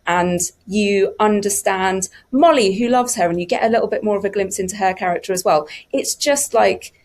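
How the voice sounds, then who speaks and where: A woman's voice: mid-range voice, moderate pace. A woman talking effusively and emphatically about creative work in a conversational panel interview. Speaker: audiobook production team member on a panel. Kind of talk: enthusiastic panel interview talk.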